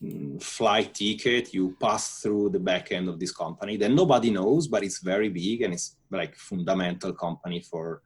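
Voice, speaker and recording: A man from northern Italy, answering interview questions in a relaxed, hesitant way with fillers. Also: low-pitched, moderate pace, few pauses, slightly rough. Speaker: guest, software architect.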